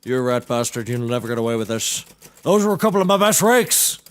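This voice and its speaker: A man's voice: medium pitch, very animated, fast talker, close to an everyday voice. A man playing an aggrieved maintenance man turned amateur lawyer, petty and blustering, in a scripted comedy. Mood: petty outrage.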